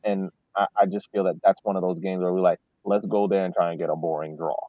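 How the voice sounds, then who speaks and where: A man's voice: deep voice, little pitch movement, fast talker, dry recording. A man on a soccer podcast, chatting casually and often repeating words as he makes his point. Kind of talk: casual sports chat. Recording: thin phone-like audio, dry.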